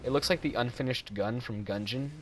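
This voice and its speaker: A man's voice: medium pitch, brisk, a little hiss or hum, low-quality recording. A young man casually commenting over his own gameplay footage, rambling and self-deprecating, often laughing at his own jokes.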